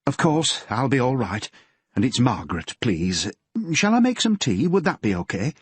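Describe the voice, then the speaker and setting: A man voicing a grieving middle-aged mother with a Yorkshire accent, who talks in a gentle, fretful and homely way. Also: mid-range voice, very animated, normal speed, slightly rough, dry recording, voice pushed up. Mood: anxious, fretful. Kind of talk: audiobook character voice.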